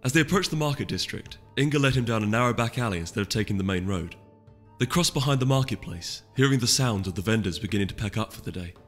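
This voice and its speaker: A man's voice: fairly low pitch, animated, fast. A man narrating a scripted story as an audiobook reader. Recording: echoey hall reverb, music behind.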